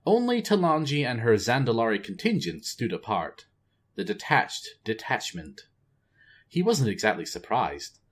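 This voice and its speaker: A man giving a casual, expressive storytime reading of a novel. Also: medium pitch, moderate pace, slightly rough. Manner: expressive, varied delivery. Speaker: reader, channel host.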